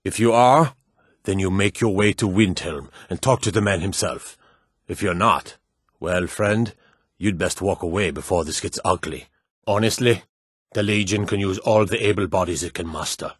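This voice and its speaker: An actor playing a gruff, proud Nordic warrior and guard in a fantasy video game, speaking in a firm, emphatic, declamatory way. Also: male voice, sits low, moderate pace, no room sound, studio quality. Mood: stern. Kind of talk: dramatic video game dialogue.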